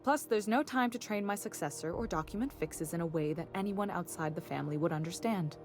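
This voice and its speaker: An actress playing a weary, exacting middle-aged chief engineer of a space station in a video game, composed, dry and formal. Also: female voice, lowish voice, brisk, over music, lightly acted. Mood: frustrated. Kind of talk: video game dialogue acting.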